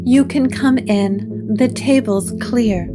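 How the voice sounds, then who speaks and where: A woman acts out a quiet, self-possessed woman in a gothic audio drama. She speaks slowly, with a hushed and faraway air. Music plays clearly behind her. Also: on the high side, pitched above the performer's own voice. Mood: quietly assured. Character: quiet, assured, dreamy woman of gothic occult shop.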